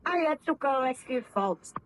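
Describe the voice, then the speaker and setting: A woman's voice: high voice, expressive, normal speed. An actress playing a distraught, pleading young woman in old-time radio horror, melodramatic and formal in delivery. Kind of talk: 1930s radio horror acting. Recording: noisy old-time radio drama recording.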